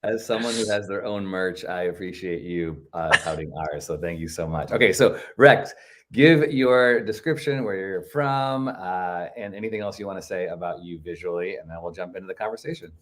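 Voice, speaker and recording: A man's voice: sits low, moderate pace, fairly bright tone. A man hosting a casual live interview chat, enthusiastic and informal, often breaking off and restarting his own sentences.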